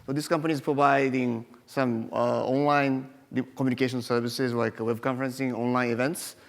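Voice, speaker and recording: A man from Japan introducing himself as a panelist, halting with frequent fillers and restarts. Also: mid-range voice, little pitch movement, vocal fry. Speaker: panelist, company CEO. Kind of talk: forum self-introduction. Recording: long hall reverb.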